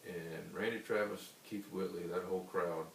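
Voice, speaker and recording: Low-voiced baritone man, roomy distant sound. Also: fairly even intonation. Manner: unhurried, rambling storytelling. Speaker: singer-songwriter guest. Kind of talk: casual interview answers. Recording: roomy, distant mic with faint hiss.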